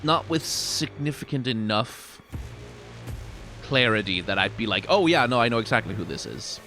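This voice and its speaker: Male commentator, highly expressive, colloquial and sardonic, off-the-cuff reactions over clearly audible music. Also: normal speed. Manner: animated, colloquial, sweary, sardonic. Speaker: reaction video host, commentator.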